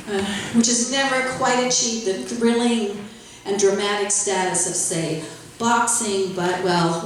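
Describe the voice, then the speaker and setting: A woman's voice: mid-range voice, a little hiss or hum. A woman who directs a library, hosting a live stage show with chatty, self-deprecating patter and plenty of fillers. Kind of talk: casual emcee patter to a live audience. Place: large echoey room with a live audience. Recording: echoey hall from far off. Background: audience murmurs and laughter.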